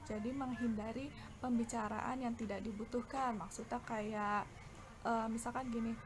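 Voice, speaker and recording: A woman's voice: fairly high pitch, steady tone, normal speed. A woman with an Indonesian accent explaining a bilingual lesson as an English teacher, often stretching out her words. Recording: clear background noise.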